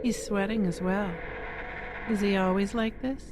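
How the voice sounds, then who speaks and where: An actress playing a sultry, sardonic femme fatale in a noir audio drama, on a noisy recording. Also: female voice, medium pitch, fairly even intonation, unhurried, close to an everyday voice. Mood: sardonic, cool. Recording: noisy track with clicks.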